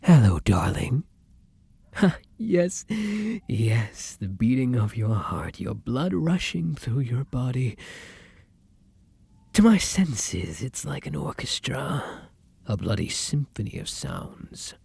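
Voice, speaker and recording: A man's voice: medium pitch, sing-song, darkish tone, no room sound, full character voice. A man playing a hungry vampire in an ASMR roleplay, theatrical and intimate, predatory yet devoted to his beloved. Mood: hungry, seductive. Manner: theatrical, drawn-out endearments, breathy whispers. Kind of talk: dramatic ASMR roleplay acting.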